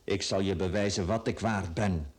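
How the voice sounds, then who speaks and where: A man's voice: sits low, normal speed, a little mellow, natural voice. A man acting a resentful, naive, underpaid bookkeeper in a radio play, earnest and aggrieved, with a Flemish accent. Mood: bitter, indignant.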